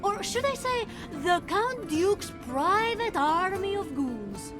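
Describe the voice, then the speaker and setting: A bold young swordswoman in a video game. She speaks high and expressively, with teasing, theatrical confidence. Music runs clearly underneath. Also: very animated, slow pace, full character voice. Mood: cocky, taunting. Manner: self-assured, teasing, playfully dramatic. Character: cocky, daring young swashbuckling swordswoman heroine. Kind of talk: lively video game cutscene acting.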